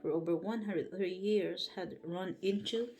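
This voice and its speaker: A woman with a Filipino accent reads a book aloud on a home livestream before bed. She reads carefully and haltingly, stopping to correct herself. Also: on the low side, a little mellow, soft background music. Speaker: livestream host. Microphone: livestream setup.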